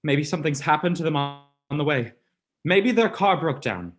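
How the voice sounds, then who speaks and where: A student actor playing an earnest, protective, suspicious gentleman in a melodramatic vintage radio mystery. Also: on the high side, lively intonation, normal speed, close to an everyday voice. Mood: tense, wary.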